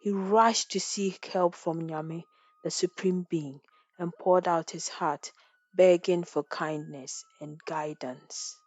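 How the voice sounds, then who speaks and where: A woman's voice: on the low side, fairly even intonation, slow pace, a little mellow, no echo. A woman storyteller narrating a folktale in a scripted, dramatic style.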